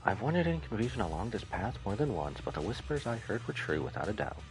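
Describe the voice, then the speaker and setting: Man reading as game cult overseer, resolute, measured, grave, lowish pitch, varied intonation. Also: big pitch swings, faint music behind, low-quality recording.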